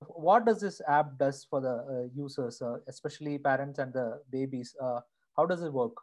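A man's voice: mid-range voice, normal speed, darkish tone. A man in his thirties hosting an interview over a video call with an earphone mic, hesitant and full of "uh" fillers. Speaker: host. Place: home room indoors.

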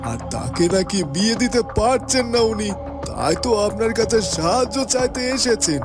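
An ingratiating cartoon henchman with a high, very animated voice. He is oily and eager, doubling his words. Music runs behind him. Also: moderate pace. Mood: eager pleading. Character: fawning, eager male henchman of a shady boss.

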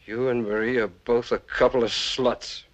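A man's voice: mid-range voice, little pitch movement, moderate pace, lightly acted, poor audio. An actor playing a bitter, drunken middle-aged husband who sneers and accuses his wife in a film scene. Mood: sneering. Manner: sneering, accusing, rising to rage.

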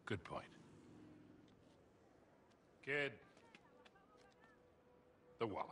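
A man's voice: mid-range voice, sing-song, extremely slow. A man playing a street-smart, middle-aged American con man in a video game, wry and teasing with easy authority. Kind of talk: cinematic video game acting.

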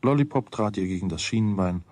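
A man with a husky voice narrating a children's radio play. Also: on the low side, lively intonation, quick pace, a little mellow, dry recording, clean studio sound, natural voice. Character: storyteller of a children's tale.

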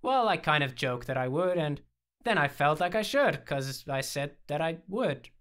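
A man voicing an excitable, childlike young man, a playful friend who speaks with theatrical fervor in an audiobook. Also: on the high side, moderate pace. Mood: earnest rambling. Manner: fervent, theatrical, exclaiming. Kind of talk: audiobook character dialogue.

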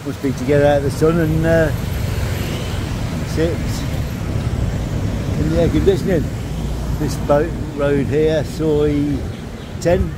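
A man's voice: fairly high pitch, slow, pauses often. Older man vlogging a busy street. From England. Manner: relaxed, chatty, offhand. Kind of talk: casual walking vlog narration. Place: outdoors on a busy city street. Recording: street traffic noise. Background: traffic noise.

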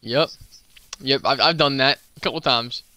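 A man's voice: medium pitch, moderate pace, bright, forceful tone, clean tone, dry recording. A young man streaming a game and chatting casually, stumbling over words, slurring a little, with a slight lisp. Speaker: gaming YouTuber and streamer. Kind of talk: casual gameplay chat.

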